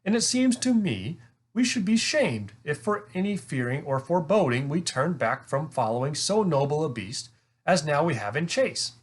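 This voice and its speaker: A man's voice: fairly high pitch, very animated, moderate pace, slightly rough, voice pushed up. A thoughtful young queen from a storybook, voiced by a man reading aloud. She is brave and courtly, speaking in formal, old-world phrases. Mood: resolute. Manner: formal archaic courtly speech.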